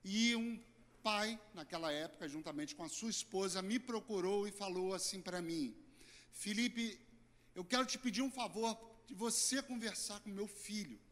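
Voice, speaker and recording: Hoarse middle-aged Brazilian pastor, fairly high voice, earnest sermon swinging loud to soft. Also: male voice, normal speed. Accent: Brazilian Portuguese. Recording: church hall reverb.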